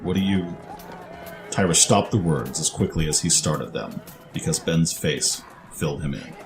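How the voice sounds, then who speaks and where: Very deep-voiced male storyteller. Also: expressive, moderate pace, music in the background. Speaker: narrator. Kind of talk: scripted dramatic narration.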